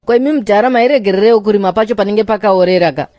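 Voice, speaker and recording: An actor voicing a cocky young man in an animated comedy, smug and chiding as they banter in slang. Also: medium pitch, very fast, no room sound, clean studio sound, close to an everyday voice.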